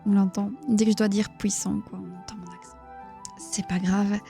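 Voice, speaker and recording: A woman with a Belgian accent reading a book aloud on a live stream in a hushed, near-whispering voice, stopping to chat with her viewers. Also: medium pitch, little pitch movement, normal speed, gravelly, faint music behind. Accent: Belgian French. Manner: reads narration in a hushed, near-whispered voice, chats plainly with viewers.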